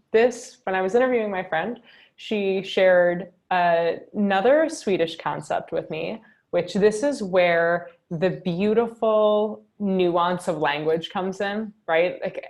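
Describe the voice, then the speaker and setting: A woman's voice: medium pitch, darkish tone. A woman leading a journaling workshop over a video call, upbeat and chatty, often asking "right?". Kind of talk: guided reflection on a video call.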